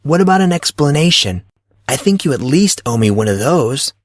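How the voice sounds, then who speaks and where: A man's voice: on the high side, big pitch swings, normal speed, dry recording, clean studio sound. A man voicing a puzzled, skeptical fourteen-year-old schoolboy, questioning and sarcastic, in an audio drama. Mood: pressing. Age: teenager. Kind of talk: audio drama character reading.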